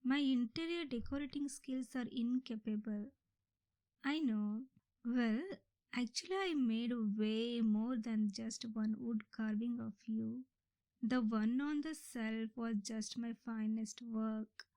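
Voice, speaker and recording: A woman's voice: a little high, takes it slowly, dark tone, no echo, low-quality recording. A woman playing an obsessive, melodramatic yandere sister in a comedic ASMR audio roleplay, rambling and exasperated as she talks to her captive. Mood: doting, boastful. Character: indignant, obsessive yandere sister, theatrical comic kidnapper.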